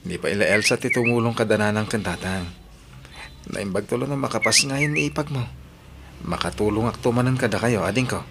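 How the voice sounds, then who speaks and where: A man's voice: fairly low pitch, fairly quick, vocal fry. Earnest older brother, apologetic, married man, radio drama, noisy background.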